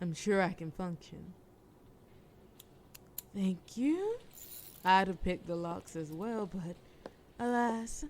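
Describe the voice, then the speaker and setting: A woman's voice: mid-range voice, darkish tone, slight background noise. A woman playing a sly, flirtatious mafia boss in an audio roleplay, with a wry, teasing, formal way of talking. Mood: flirty, wry. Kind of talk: scripted audio roleplay acting.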